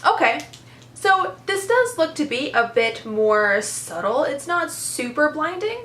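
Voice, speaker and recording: A young woman with a congested voice chats eagerly through a makeup review, piling on "super" and "very." Her voice sits a little high. The room has a noticeable echo. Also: big pitch swings, unhurried, a bit bright. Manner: chatty, enthusiastic, lots of "super" and "very". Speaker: beauty vlogger. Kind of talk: casual product review chat.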